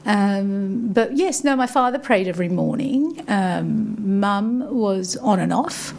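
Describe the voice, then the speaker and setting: A middle-aged woman from Yemen with a refined London accent, a guest in a radio interview who talks reflectively with many pauses and restarts. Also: mid-range voice, lively intonation, gravelly, a little mellow, slight background noise. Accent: refined London English. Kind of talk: casual interview conversation. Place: radio studio.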